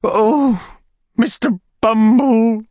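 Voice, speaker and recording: A man's voice: very high-pitched, steady tone, dark tone, no room sound, clean studio sound, pitched above the performer's own voice, exaggerated voice. A man voicing a fluttery, whimpering widow in a storybook, simpering and drawing out the words. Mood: distressed, whimpering. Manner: breathless, drawn-out, simpering. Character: fluttery, whimpering middle-class widow, a matron in distress. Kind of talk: dramatised audiobook character voice.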